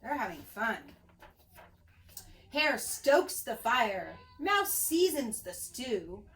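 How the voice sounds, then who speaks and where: A woman reading a picture book aloud like a preschool teacher, expressive and sing-song for young children. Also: on the high side, very slow.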